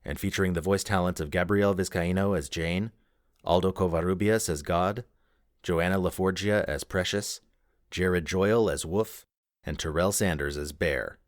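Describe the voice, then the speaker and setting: A man's voice: sits low, steady tone, moderate pace, no echo, clean studio sound. A man hosting an audio drama show, reading scripted credits as its announcer. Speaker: host and announcer.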